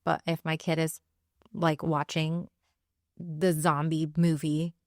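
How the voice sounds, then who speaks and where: A woman in her thirties talks casually as a podcast co-host. Her voice sits a little on the low side. The recording is dry, with hardly any room sound. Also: flat delivery, takes it slowly, no echo. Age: 30s. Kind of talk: casual chat.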